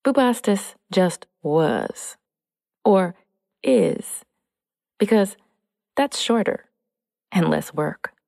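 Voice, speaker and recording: A woman reads a children's story at a slow, easy pace. Her voice is soft-edged and mellow, with playful, expressive phrasing. A long reverb tail hangs behind the words. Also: mid-range voice, gravelly, studio quality. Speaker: storybook narrator. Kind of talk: scripted children's story narration.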